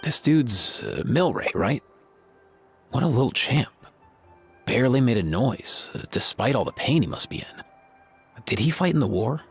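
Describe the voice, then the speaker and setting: Laid-back doctor with lively intonation. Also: male voice, mid-range voice, moderate pace, vocal fry, pauses often, breathy edge, faint music behind, heavily acted. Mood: sympathetic, relaxed. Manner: slangy, chilled-out. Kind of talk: English game voice acting.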